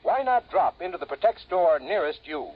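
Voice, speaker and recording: An actor playing a slick, cheerful male pitchman reading a punchy 1950s radio commercial straight at the listener. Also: sing-song, normal speed. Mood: cheerful, persuasive. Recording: muffled old radio recording, faint noise.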